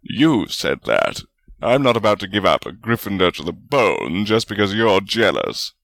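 A man plays a jovial, unrepentant wizard spirit in a storybook reading. The voice is very low and gravelly, with a stubborn, teasing manner. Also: very animated, normal speed, fairly bright tone, no room sound, exaggerated voice. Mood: protesting.

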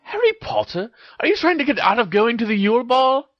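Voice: medium pitch, very animated, fairly quick, dry recording, muffled recording, voice pushed up. A reader voicing a teenage girl witch, a bossy and teasing best friend who speaks in emphatic exclamations, for an audio story. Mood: incredulous.

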